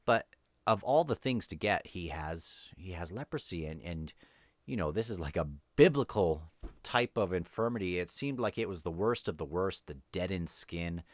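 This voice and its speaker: Low-pitched man rambling about a book. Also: animated, moderate pace, dry recording, clean studio sound. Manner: hesitant, rambling, thinking aloud. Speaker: book reviewer hosting a read-along. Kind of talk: unscripted book discussion with short read passages.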